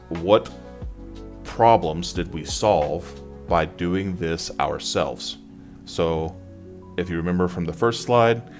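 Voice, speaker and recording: A man presenting exam advice in an informal tutorial style, talking through slides. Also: deep voice.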